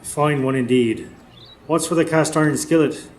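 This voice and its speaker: An actor playing a kindly older stable boss in a radio drama. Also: male voice, a little high, normal speed, close to an everyday voice. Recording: live stage recording, faint background noise.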